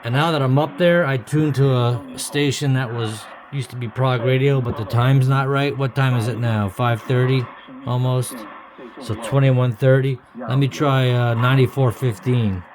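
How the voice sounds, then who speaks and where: Guy kinda mumbling through a demo to himself, unsure, halting, radio noise and clicks behind him. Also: mid-range voice, steady tone. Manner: musing aloud, halting, uncertain. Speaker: hobbyist vlogger. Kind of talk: casual unscripted demonstration.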